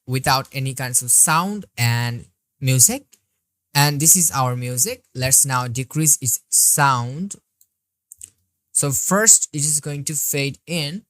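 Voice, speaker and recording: Woman instructor with a strikingly low voice, unhurried, talking through each click in a dry, close voice-over. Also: fairly bright tone, no room sound. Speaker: software tutorial instructor. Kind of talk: screen-recorded tutorial walkthrough.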